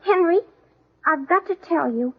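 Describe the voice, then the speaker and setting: A woman's voice: extremely high pitch, lively intonation, unhurried, heavily acted. An actress playing a timid, jealous, hurt teenage girl in 1940s radio comedy style. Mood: upset, pleading. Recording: muffled, lo-fi old radio broadcast.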